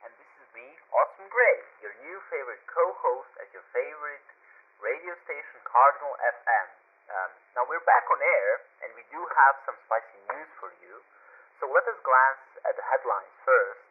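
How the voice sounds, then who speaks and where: Male newcomer radio co-host, acted by a man: conversational, faintly hesitant, slightly rough tone, narrow radio-drama sound. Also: medium pitch, lively intonation, normal speed. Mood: upbeat announcing. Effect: radio filter. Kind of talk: scripted radio host banter and news. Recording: band-limited muffled radio sound.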